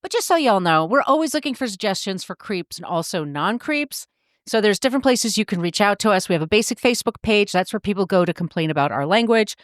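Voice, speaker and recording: A woman's voice: medium pitch, lively intonation, quick pace, dry recording. Chatty woman podcast host, dry sound. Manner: chatty, jokey, swears casually. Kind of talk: casual podcast chat.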